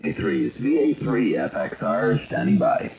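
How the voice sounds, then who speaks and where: Halting man over narrow-band ham radio. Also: medium pitch, lively intonation, creaky. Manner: halting, hesitant delivery with many drawn-out pauses. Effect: received over shortwave radio. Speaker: amateur radio operator. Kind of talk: casual radio chat. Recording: narrow-band radio signal, faint static.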